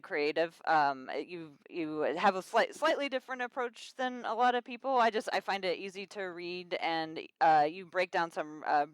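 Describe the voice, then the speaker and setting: A woman's voice: lowish voice, smooth, no echo. A woman hosting a podcast who chats casually in a friendly, hesitant way. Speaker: podcast host.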